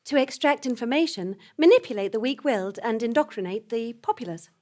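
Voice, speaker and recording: High-voiced woman reading a spoof advert. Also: animated, brisk, darkish tone. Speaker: advert announcer. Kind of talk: scripted spoof advert read.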